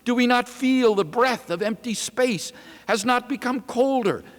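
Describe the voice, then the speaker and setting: A man's voice: extremely high pitch, unhurried, pitched above the performer's own voice. A man voicing an anguished, accusing madman with declamatory, urgent delivery in a dramatic reading. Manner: declamatory, urgent rhetorical questions. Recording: reverberant lecture hall.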